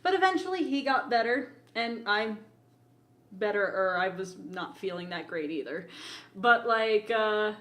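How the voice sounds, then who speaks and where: A woman's voice: medium pitch, animated, a little slow, a bit bright. A woman co-hosting a casual podcast, telling stories in a playful, teasing way, peppered with "like". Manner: playful, teasing, often says "like". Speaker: podcast co-host. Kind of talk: casual chat, animated storytelling.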